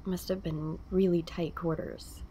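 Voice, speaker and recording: An actress playing an attentive female interviewer, gently probing in a documentary-style audio drama. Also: on the low side, steady tone, moderate pace, a little hiss or hum, natural voice. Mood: gentle, concerned.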